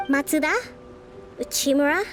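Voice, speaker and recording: A woman playing a composed, kindly young female military leader in an anime video game, speaking softly in a measured, formal way. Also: sits high, steady tone, natural voice. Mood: authoritative. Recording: game music behind the voice.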